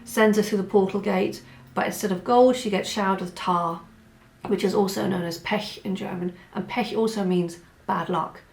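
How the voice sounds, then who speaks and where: A woman who is hoarse from a cold, vlogging in a chatty, rambling way with many asides. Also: mid-range voice, steady tone, moderate pace, a little mellow. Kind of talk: casual chat. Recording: roomy echo with faint music.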